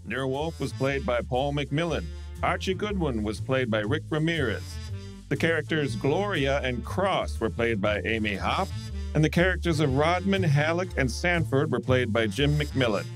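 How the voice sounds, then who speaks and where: A man's voice: mid-range voice, animated, moderate pace. A man reading scripted closing credits as the announcer of a radio show. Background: music.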